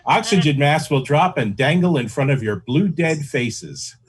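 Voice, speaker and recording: A man's voice: fairly high pitch, lively intonation, normal speed, a crowd in the background. A man chatting casually in a group, with a joking, teasing way of talking.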